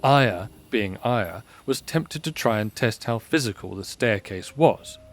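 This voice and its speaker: A man's voice: on the low side, lively intonation, a little slow. A man reading scripted story narration as the narrator of an audio drama.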